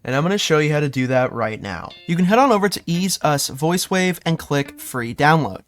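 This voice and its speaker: A woman presenting a software tutorial in casual scripted narration. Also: deep voice, big pitch swings, a little fast, soft background music.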